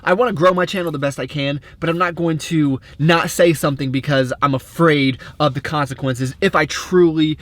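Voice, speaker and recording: A young man talking casually to his vlog audience, upbeat and smiley, rambling with frequent restarts as he gives his opinions. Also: on the high side. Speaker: fitness and cooking vlogger.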